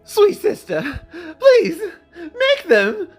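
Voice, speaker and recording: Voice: extremely high pitch, huge pitch swings, very slow, soft background music, voice pushed up, exaggerated voice. A narrator voicing an arrogant, unhinged young prince with theatrical, sneering shrieks in a dramatic fantasy audiobook. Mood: pleading, desperate.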